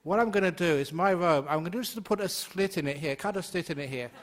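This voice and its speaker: A man's voice: high-pitched, fast talker. Older man, monk leading Q&A, raised voice, laughs a lot, chatty. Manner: jokey, laughs often, chatty with fillers. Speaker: Buddhist monk and teacher. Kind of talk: Dharma talk Q&A.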